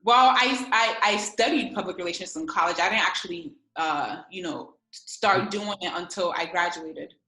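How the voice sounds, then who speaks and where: Young woman from Ghana, chatty guest on a video call, bright and echoey. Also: on the low side, moderate pace, hardly any pauses. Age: 20s. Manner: chatty, lots of fillers, slangy. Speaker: guest, publicist. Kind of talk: casual interview over video call.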